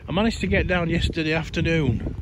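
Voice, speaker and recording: Man, middle-aged, hoarse, rambling gardening vlogger, noisy outdoor recording. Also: a little high, fairly quick. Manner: chatty, rambling, tired. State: croaky voice, coughing. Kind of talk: casual garden update to camera.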